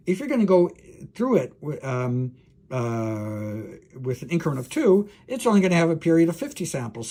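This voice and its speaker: Gravelly, husky male lecturer explaining informally. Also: a little high, darkish tone. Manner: halting, thinking aloud, checks understanding. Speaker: university lecturer.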